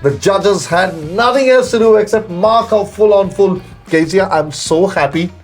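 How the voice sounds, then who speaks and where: A man's voice: sits high, normal speed, faint music behind. A man hosting an interview, gushing with praise and leaning on "you know" fillers. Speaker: talk show host. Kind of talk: effusive interview chat.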